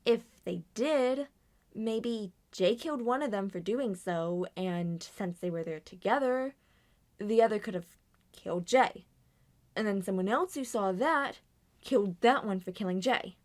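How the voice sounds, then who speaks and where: A woman voicing earnest, anxious young animated characters in a scripted murder mystery roleplay, with theatrical, deliberate delivery. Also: mid-range voice, close to an everyday voice. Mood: earnest, defensive. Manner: theatrical, deliberate acted dialogue.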